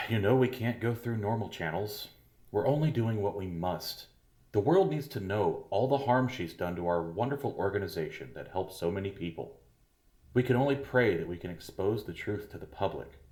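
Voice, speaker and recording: Casting: man as a measured, fatherly school head, very deep voice, echoey room. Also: expressive, a little mellow, lightly acted. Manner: soothing, paternal, measured. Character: middle-aged male school head, falsely kindly. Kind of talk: audio drama acting.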